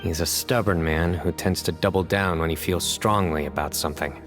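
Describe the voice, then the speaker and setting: A man's voice: low-pitched, fairly even intonation, normal speed, music in the background, lightly acted. An actor playing a stern, reserved young male guardian who talks tersely and seriously. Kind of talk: video game voice acting.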